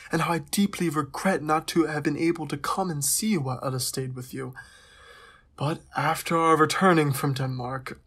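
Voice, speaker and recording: A man's voice: fairly high pitch, fairly bright tone, breathy edge. An actor playing a courteous, lovestruck young royal, reading his letter aloud in a hesitant, wistful way for an audio drama.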